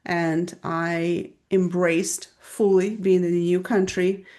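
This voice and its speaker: A woman from Belarus with a Belarusian accent, a native Russian speaker, calmly explaining her views step by step as a podcast guest. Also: on the low side. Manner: measured, explanatory, lays out points step by step. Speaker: guest, business strategist. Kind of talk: podcast interview conversation.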